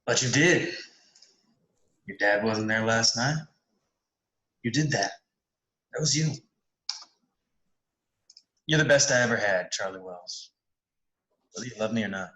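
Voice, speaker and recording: Actor as earnest, adoring boyfriend in a screenplay read, lowish but bright voice, recorded in an echoey room. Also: male voice, a little slow, pauses often. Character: earnest, devoted male lover encouraging a singer.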